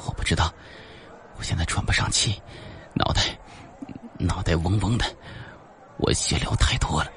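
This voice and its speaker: A man's voice: low-pitched, moderate pace, long pauses, a bit bright, slight background noise. A man voicing a predator who pretends to be a weak, wounded victim in an audiobook, stammering and breathless. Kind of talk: audiobook character acting.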